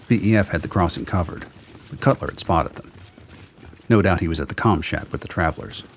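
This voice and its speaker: A man's voice: low voice, expressive, brisk, a little hiss or hum. A man reading scripted narration for a radio drama.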